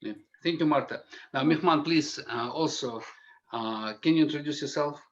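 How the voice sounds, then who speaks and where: A man's voice: a little high, moderate pace, muffled recording. A man moderating a panel discussion, asking questions in a casual, informal way.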